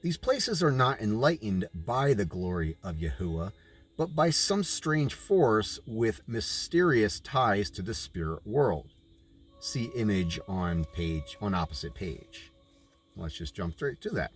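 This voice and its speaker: Husky low-voiced man reading aloud. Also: big pitch swings, slow pace. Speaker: reader of a book, with asides. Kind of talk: audiobook narration with informal asides.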